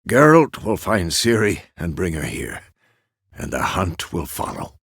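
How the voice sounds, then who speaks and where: A man playing a gruff, fatherly old monster hunter in a fantasy video game, with a gravelly voice and a terse, measured, authoritative manner. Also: low-pitched, huge pitch swings, fairly bright tone, no echo, clean studio sound, exaggerated voice.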